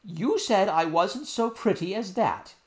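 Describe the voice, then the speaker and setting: Dude putting on a high loud fussy nurse lady, drags her words, touchy and indignant. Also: animated, measured pace. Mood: emotional. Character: fussy, easily vexed woman nurse. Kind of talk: audiobook character voice.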